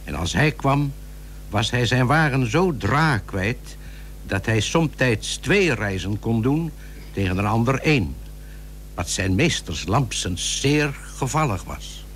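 An actor playing the chronicler of a historical radio play, narrating in formal, bookish, archaic Dutch. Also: male voice, medium pitch, big pitch swings, slow pace, a bit bright. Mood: measured storytelling. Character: storytelling chronicler of a seafarer's life. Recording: old radio recording with hum and hiss.

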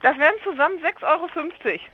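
A woman working in a fast-food restaurant, speaking unscripted on the phone in a polite, matter-of-fact way. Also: high voice, expressive, background noise. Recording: dull lo-fi telephone line.

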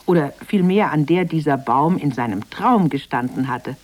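Low-voiced woman narrating a fairy tale. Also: expressive, normal speed, a little mellow. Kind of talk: scripted children's storytelling narration.

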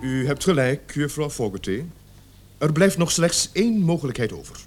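Radio play police officer: authoritative, pressing, lively intonation, slightly high male register. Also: moderate pace, slight background noise. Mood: methodical, grave. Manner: authoritative, pressing, theatrically emphatic. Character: stern, commanding male police officer leading an interrogation.

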